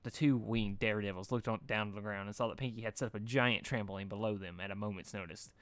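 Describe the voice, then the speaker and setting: A man's voice: fairly low pitch, fairly even intonation, fast talker, fairly bright tone, clear, resonant tone, dry recording. A man reading fan fiction aloud as a narrator. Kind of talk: storybook-style narration.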